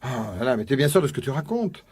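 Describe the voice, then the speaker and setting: A man's voice: mid-range voice, sing-song, brisk, a little mellow, breathy edge. An actor playing a worried, suspicious father in a French radio drama, insistently questioning and stammering over repeated words. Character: worried, suspicious divorced father, stern with his daughter.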